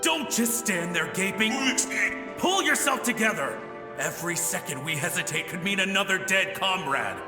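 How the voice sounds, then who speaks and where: A man voicing the ghost of a lighthouse-keeping soldier in a video game, folksy and chatty when calm and barking frantic orders when alarmed. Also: high voice, moderate pace. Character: ghost of a male soldier lighthouse keeper, friendly then panicked. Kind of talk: game voice acting. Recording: echoey ghostly reverb. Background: game music.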